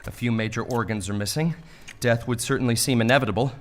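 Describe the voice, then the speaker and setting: A man's voice: medium pitch, quick pace, a little hiss or hum, natural voice. An actor playing a melodramatic, dying man of faith in a comic audio play, given to exclamations and big emotional swings. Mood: resigned. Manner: melodramatic, exclamatory, wailing and gushing by turns. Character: dying man of faith in desert, theatrical, swings panic to wonder.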